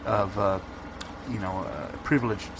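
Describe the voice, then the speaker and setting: A man's voice: lowish voice, steady tone, moderate pace, close to an everyday voice. A man playing a self-important debate veteran in improvised comedy audio, speaking in a digressive, condescending way. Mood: dry, aggrieved. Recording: loud vehicle and outdoor noise behind.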